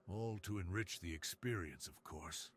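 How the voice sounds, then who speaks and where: A man voicing an alluring, dominant crime boss in an intimate ASMR roleplay, speaking in a slow, teasing, hushed way. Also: low voice, a little hiss or hum, close to an everyday voice. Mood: dominant, admiring. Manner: slow, teasing, hushed delivery that drops into whispers. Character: alluring, dominant, seductive male crime boss with a tender side.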